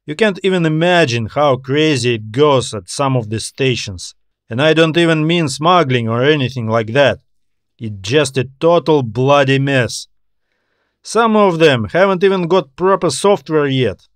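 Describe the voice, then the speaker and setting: A man's voice: fairly high pitch, animated, unhurried, no room sound, clean studio sound. A man voicing a tired, anxious customs officer in an audio story, talking in a weary, griping way. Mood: griping, familiar.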